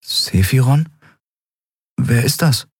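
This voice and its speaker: A dreamy, downcast boy from a fantasy audiobook, acted out by a man. The voice sits raised and a little husky. He speaks hesitantly, with a resigned air. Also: mid-range voice, lively intonation, slow, no room sound, clean studio sound, voice pushed up. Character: dreamy, downcast boy who longs to be a hero. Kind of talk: audiobook character voice.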